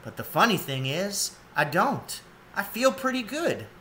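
A man's voice: high-pitched, sing-song, a little slow, sharp tone, slight background noise, voice pushed up, exaggerated voice. A man voicing a plucky, kindly otter mother in a storybook, with a plain, resigned yet hopeful delivery. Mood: gently cheerful.

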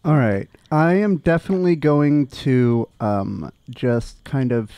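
A man's voice: medium pitch, takes it slowly, mellow, smooth, no room sound. A man playing a tabletop game, talking and roleplaying casually at a table into a close microphone. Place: room, group around a table. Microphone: table microphone on arm, close.

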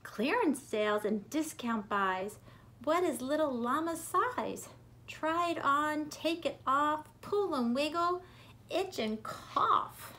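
A woman reads a children's picture book aloud in an expressive, rhythmic, deliberate way for young listeners. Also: high-pitched. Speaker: preschool teacher reading aloud. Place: bedroom at home.

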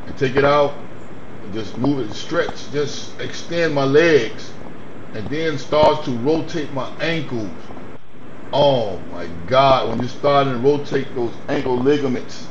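A man's voice: medium pitch, dull audio. Rambling middle-aged man, noisy airport hum. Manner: relaxed, rambling with many restarts. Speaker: vlogger sharing stretching tips. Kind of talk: casual how-to vlog. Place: airport gate and plane seat. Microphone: handheld phone camera at arm's length. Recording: noisy cabin and terminal hum, roomy.

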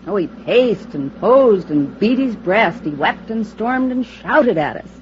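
An actress playing a jaded, sardonic comedienne in a 1950s radio drama, with dry wisecracking delivery. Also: female voice, medium pitch, lively intonation, unhurried, natural voice. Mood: bitter, sardonic. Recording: dull, noisy old radio recording.

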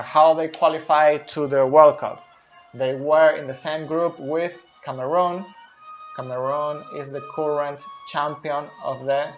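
A man in his thirties who is not a native English speaker, explaining football tactics unscripted at a whiteboard, slow and deliberate with many pauses. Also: a little high. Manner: slow and deliberate, pausing often. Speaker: football analyst. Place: small room by a whiteboard.

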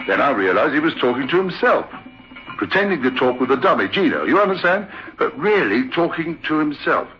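A man's voice: medium pitch, lightly acted. An actor playing an affable, sceptical theatre manager, chatty and hesitant, telling his tale in old-time radio drama style. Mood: eerie, suspenseful. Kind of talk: old-time radio drama, conversational first-person storytelling. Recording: dull, lo-fi old radio recording.

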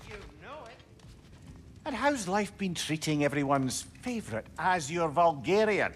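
An actor playing a witty, cheeky talking severed head who speaks in a flowery, teasing, eloquent way. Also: male voice, sits high, sing-song, a little slow, a bit bright, slight background noise, heavily acted.

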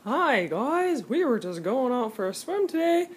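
A woman's voice: sits high, sing-song, measured pace, very noisy. A woman hosting a home cooking video from off camera, with exaggerated, teasing mock-TV-host enthusiasm, recorded on a phone in a home kitchen. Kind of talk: playful mock TV-host banter. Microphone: phone camera from off screen.

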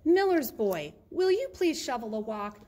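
A woman voicing a small, anxious, hurried mouse who pleads earnestly and in singsong in a children's picture book. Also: fairly high pitch, very animated, warm tone, voice pushed up. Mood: pleading, insistent.

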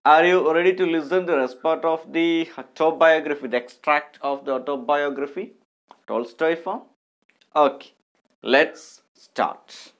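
A man in his thirties with an Indian accent speaks as an English teacher in an online class. His voice sits a little high, and he goes very slowly, articulating each phrase carefully in an instructive way. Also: fairly bright tone. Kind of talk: online lesson with text read aloud.

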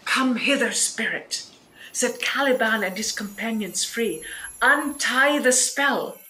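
A woman playing a composed, commanding sorceress in a Shakespearean radio play, delivering verse with measured authority. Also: fairly high pitch, bright, forceful tone, poor audio. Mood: assured. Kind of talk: Shakespearean radio drama acting. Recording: faint noise behind online recording.

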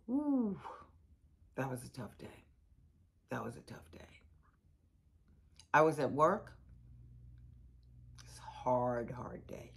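An older actress playing a candid law school dean reminiscing in a conversational monologue, emphatic and given to repeating phrases. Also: female voice, very deep voice, very animated, slow pace, creaky, long pauses, husky, exaggerated voice. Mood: wistful. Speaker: actor in a dramatized narrative. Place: room with bookshelves.

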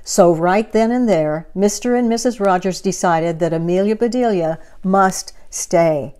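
A woman in her sixties reading a picture book aloud to children in a deliberate, expressive way. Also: mid-range voice, smooth. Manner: deliberate, expressive delivery for young listeners. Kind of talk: animated children's story reading. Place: living room, indoors.

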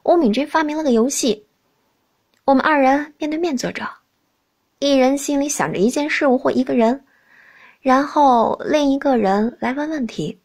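High-voiced expressive female audiobook narrator. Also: moderate pace. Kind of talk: expressive first-person scripted novel narration.

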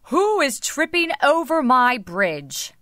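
Storybook troll, acted by a woman: crabby, hungry, menacing, loud and forceful, with swooping pitch. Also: very animated, takes it slowly, no echo, studio quality, exaggerated voice. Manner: roaring, threatening. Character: great ugly troll, hungry, crabby, menacing male creature.

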